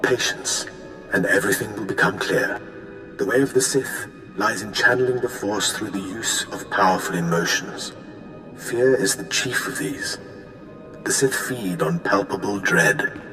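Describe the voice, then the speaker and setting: Echoing, serene ancient hologram sage. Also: male voice, on the low side. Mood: solemn, serene. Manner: measured, wise, solemn. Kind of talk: video game cutscene acting. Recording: echoing voice over game music.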